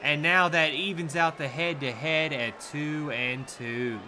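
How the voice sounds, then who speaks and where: A man's voice: fairly high pitch, fairly even intonation, measured pace, background noise. A man with a Southern US accent giving laid-back, rambling sumo commentary, laughing easily. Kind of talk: casual live commentary.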